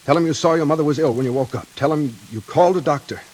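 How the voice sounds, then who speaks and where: A man's voice: fairly high pitch, rapid-fire, a little mellow, lightly acted. A man playing an earnest, decisive doctor and protective sweetheart in 1940s radio acting, speaking with emphatic urgency. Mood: urgent. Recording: hissy 1940s radio recording, rain behind.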